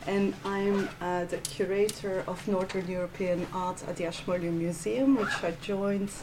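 A woman's voice: mid-range voice, fairly even intonation, noisy background. Flemish-accented woman lecturing on art. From Belgium (Flanders). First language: Dutch. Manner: measured, with frequent restarts and um's. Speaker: museum curator giving a lecture. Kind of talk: prepared lecture.

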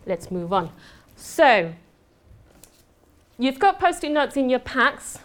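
A woman from southern Spain with a Spanish accent, giving a fast, chatty, joking networking presentation to a room. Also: sits high, very animated. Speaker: networking speaker. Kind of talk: lively conference presentation. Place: conference room. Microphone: mic at a talk.

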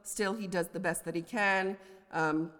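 A woman's voice: lowish voice, steady tone, unhurried, a bit bright. A woman with an American accent giving an academic poetry lecture, scholarly but with conversational asides. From United States. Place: large indoor lecture hall. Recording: reverberant lecture hall.

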